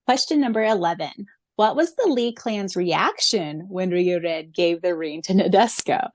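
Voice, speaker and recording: A woman's voice: on the low side, animated, normal speed, dry recording. Giggly woman reading podcast questions. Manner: giggly, reads answers aloud. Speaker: podcast co-host. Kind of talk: casual chat reading listener questions.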